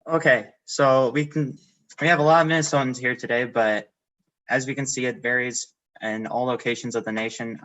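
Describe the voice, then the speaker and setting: A teenage boy from South Dakota hosting a live panel, talking quickly with restarts. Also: medium pitch, fairly even intonation, a bit bright, highs cut off. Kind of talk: casual live Q and A moderating.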